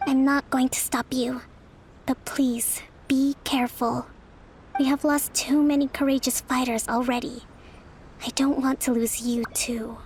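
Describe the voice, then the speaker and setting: An actress playing a young, thoughtful female military leader in a video game, soft and measured in delivery. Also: high voice, little pitch movement, slight background noise. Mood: softly pleading. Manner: measured, formal, deliberate. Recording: music behind the voice.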